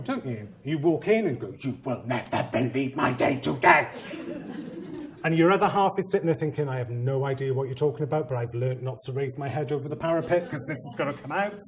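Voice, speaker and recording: Animated Welsh man giving a teachers' talk. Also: a little high. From Wales. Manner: animated and theatrical, acting out quoted voices, teasing the audience. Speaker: head teacher, conference speaker. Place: conference training room with seated audience.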